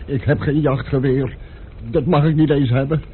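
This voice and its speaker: A man's voice: a little high, steady tone, normal speed, lightly acted. Man playing a fretful, timid innkeeper, tinny old recording. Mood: frightened, wailing. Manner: stammering, anxious, wailing. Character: timid, fretful, fawning male country innkeeper. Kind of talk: old Dutch radio drama acting. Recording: tinny, noisy old radio play recording.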